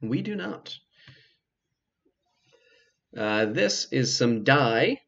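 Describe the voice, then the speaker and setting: Man, game walkthrough commentary, gravelly fry, measured and hesitant, dry asides, dull lo-fi recording. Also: medium pitch, big pitch swings, fairly bright tone. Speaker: video game walkthrough host. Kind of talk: casual let's play commentary.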